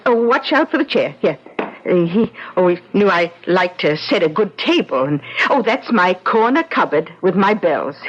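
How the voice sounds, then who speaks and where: Fluttery, chatty older widow, lowish voice. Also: female voice, breathy edge. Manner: rambling, fluttery, solicitous. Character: chatty, sentimental widowed neighbor lady, fussily helpful. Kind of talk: old-time radio drama acting. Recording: muffled, noisy vintage radio recording.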